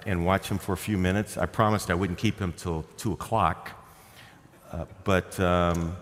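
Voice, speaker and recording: A male pastor giving a sermon in a folksy, explanatory way, dropping to quiet asides and rising to emphatic points. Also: deep voice. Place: church sanctuary. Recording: reverberant church hall.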